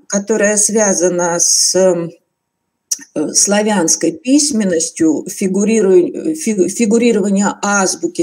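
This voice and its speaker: Female webinar lecturer, formal and halting, unhurried pace, mellow timbre, speaking on a video call. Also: medium pitch. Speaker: teacher trainer giving a webinar. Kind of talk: online lecture to teachers. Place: indoor room, on a video call.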